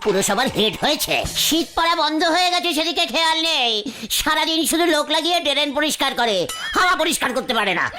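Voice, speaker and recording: A high-pitched cartoon village uncle, excitable and lovelorn. He blusters, bumbles and mangles his words, with music playing clearly behind him. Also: quick pace. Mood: blustering. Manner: blustering, malapropisms. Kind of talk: comic cartoon dialogue.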